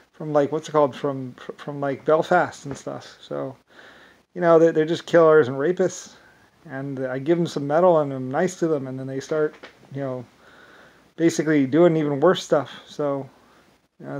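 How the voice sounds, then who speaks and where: A man with an Irish accent talking in a rambling monologue, halting often with restarts and fillers. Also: a little high. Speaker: self-described inventor.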